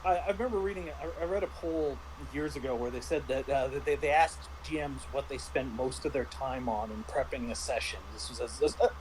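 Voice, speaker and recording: A man's voice: mid-range voice, fairly quick, hardly any pauses, a little hiss or hum, poor audio. A middle-aged man chatting casually as a game master, stammering and repeating words as he explains things. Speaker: game master reviewing a tabletop RPG. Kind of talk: casual chat.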